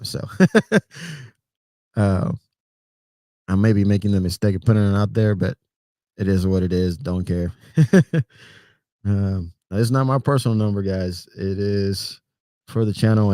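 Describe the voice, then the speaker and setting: Deep, mellow Puerto Rican trucker. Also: male voice, dry recording, studio quality. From Puerto Rico. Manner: relaxed, rambling, greets chat by name, chuckles often. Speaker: trucker livestream host. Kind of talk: casual livestream chat with viewers.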